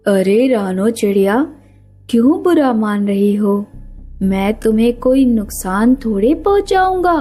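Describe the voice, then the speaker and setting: A woman acts out a male bird of prey from an animated fable. He speaks slowly, wheedling and menacing as he hunts small birds. Music plays behind him. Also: on the high side, pitched below the performer's own voice. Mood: coaxing. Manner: falsely coaxing, gloating and threatening. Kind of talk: animated children's story character voice.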